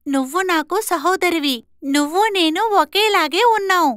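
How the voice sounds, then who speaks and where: An extremely high female voice, recorded dry, playing a confident young woman as a voice actress does her. She is bold and assertive, in cartoon moral-story style. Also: normal speed, a bit bright, no echo. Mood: determined. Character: bold, educated young twin sister. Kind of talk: animated moral-story voice acting.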